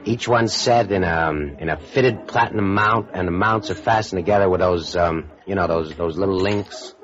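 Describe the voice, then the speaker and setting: A jumpy, cagey petty crook out of 1950s radio crime drama. His voice sits fairly low, and he talks in evasive, stammering tough-guy slang. The vintage recording is dull, with faint music. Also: moderate pace, a bit bright. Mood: hesitant, defensive.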